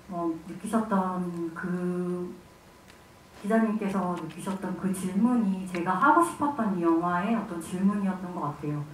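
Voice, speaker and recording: Woman, film director, thoughtful, pauses for words, echoey hall, distant mic. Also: fairly low pitch, a little hiss or hum. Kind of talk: press conference answers. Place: press conference hall.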